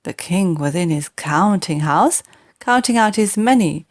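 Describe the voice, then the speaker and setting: Slow, theatrical woman storyteller. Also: lowish voice, expressive. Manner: theatrical, deliberate, emphatic. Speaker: audiobook reader. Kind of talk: expressive children's verse storytelling.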